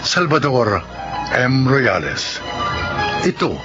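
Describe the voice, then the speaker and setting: A man announcing for a radio drama in a formal, measured, dramatic scripted broadcast style. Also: mid-range voice, big pitch swings, muffled recording, over music.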